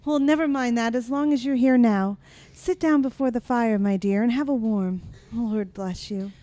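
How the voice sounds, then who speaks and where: A woman performs a loving but outspoken mother in an old-time radio drama. The voice sits somewhat high. The delivery is fussing and plain-spoken, that of someone running a poor household. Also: moderate pace, mellow, lightly acted. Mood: affectionate welcome.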